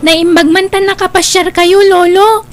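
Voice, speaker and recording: A woman playing a cheerful young granddaughter in a family drama, polite and sunny with her grandfather. The voice sits very high. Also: steady tone, moderate pace, over music.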